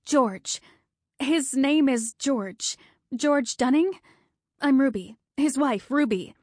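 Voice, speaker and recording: A woman doing a frantic, high-pitched wife. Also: lively intonation, slow pace, no echo, clean studio sound. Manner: high, breathless, urgent pleading. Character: frantic middle-aged wife, anxious and pleading.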